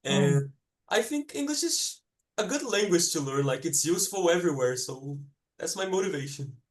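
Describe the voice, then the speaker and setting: A man's voice: a little high, lively intonation, normal speed. A man from Brazil, a student speaking casual English over a video call, giggling and saying "like".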